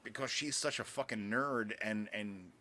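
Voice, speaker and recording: This is a man in his thirties on a podcast, rambling through casual chat. He is full of fillers and offhand swearing. His voice sits low. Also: measured pace, pushed and bright. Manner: rambling, lots of filler words and profanity. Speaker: podcast co-host.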